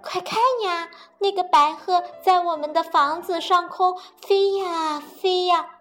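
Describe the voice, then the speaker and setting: An eager little rabbit boy from a children's storybook, raised and bright, calling out with excitement, as a woman puts him on. Soft music sits faintly behind. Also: fairly high pitch, takes it slowly. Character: lively excitable male rabbit, the frog's friend.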